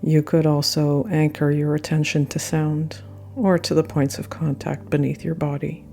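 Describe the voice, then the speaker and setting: A woman guiding a yoga nidra meditation with gentle, unhurried phrasing and frequent pauses. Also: deep voice, flat delivery, vocal fry. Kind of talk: guided meditation narration. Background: soft meditation music.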